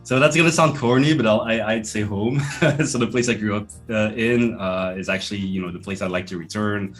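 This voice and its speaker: A lower-voiced man with a Swiss French accent talks over a webcam from a bookshelf-lined office. He answers in a thoughtful, casual way and lets each sentence fade off quietly. Faint guitar music plays underneath. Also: fairly quick. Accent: French-speaking Swiss. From Switzerland. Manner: thoughtful, trails off softly at sentence ends. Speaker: guest, professor. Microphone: video call webcam.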